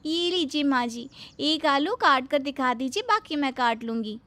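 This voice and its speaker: Woman doing a shrill sweet young daughter-in-law. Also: lively intonation, measured pace, sharp tone, heavily acted. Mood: eager. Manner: sweet, literal-minded, high and singsong. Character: eager overly obedient young daughter-in-law.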